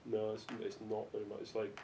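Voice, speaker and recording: A man's voice: monotone, brisk, warm tone. A man chatting casually and bluntly in a group, on an amateur podcast recording. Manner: blunt, sweary. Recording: distant, echoey amateur podcast with faint rumble.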